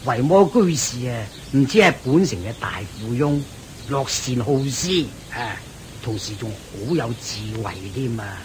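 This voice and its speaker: A man's voice: medium pitch, expressive, measured pace, darkish tone, slight background noise, lightly acted. A man acts a kindly older Buddhist master in a radio drama, devout and patient. His Hong Kong Cantonese speech rises and falls very animatedly, with music playing behind it. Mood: kindly, instructive.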